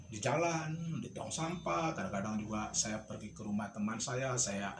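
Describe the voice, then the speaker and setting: A man's voice: on the low side, normal speed. A man giving an informal storytelling tutorial, instructive and thinking aloud as he shows objects. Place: indoor home studio. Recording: echoey room with clear background noise.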